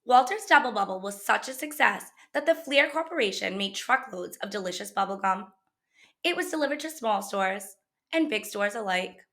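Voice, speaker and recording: Bright-voiced woman reading to children. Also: mid-range voice, expressive, moderate pace. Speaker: teacher reading a book aloud. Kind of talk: children's picture-book read-aloud.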